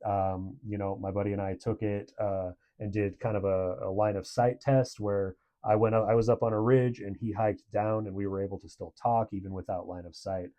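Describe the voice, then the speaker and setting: A man's voice: lowish voice, flat delivery, normal speed, hardly any pauses, warm tone. A man giving a casual product review in a conversational, approving way.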